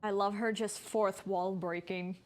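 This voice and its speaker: A woman's voice: medium pitch, steady tone, unhurried. A woman streamer giving casual, chatty, informal commentary as she plays a video game. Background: game music.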